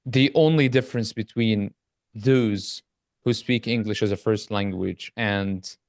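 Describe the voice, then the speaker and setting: A man's voice: on the low side, unhurried, darkish tone, no echo. A man speaks English as a panelist. He is friendly and agreeable, with a few fillers. The sound is dry and close over a video call. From Egypt. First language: Arabic. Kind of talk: casual online panel chat.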